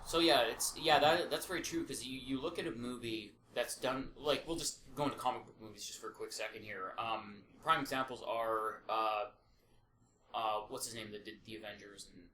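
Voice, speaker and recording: A man's voice: mid-range voice, moderate pace, pushed and bright. Male podcast co-host, opinionated and explanatory, casual and a bit sweary, roomy echoing sound. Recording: echoey room with faint background noise.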